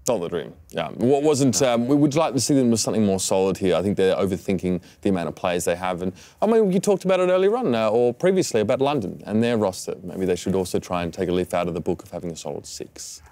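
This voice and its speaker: A man's voice: on the low side, fast talker, hardly any pauses, dark tone, dry recording. A man giving casual esports panel commentary, with playful storytelling, as a host and analyst.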